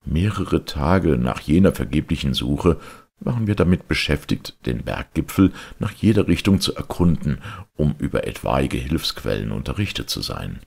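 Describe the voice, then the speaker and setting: A man reading a literary audiobook as a narrator, with measured, dramatic storytelling delivery. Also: very deep voice, big pitch swings, gravelly, darkish tone, breathy edge. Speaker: audiobook reader.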